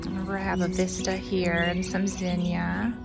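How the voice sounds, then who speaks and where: A woman's voice: fairly low pitch, completely flat delivery, normal speed, creaky, loud music behind the voice. A woman talking casually outdoors as she hosts a home garden tour, chatting and musing aloud as she looks around. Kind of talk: casual walk-and-talk vlog.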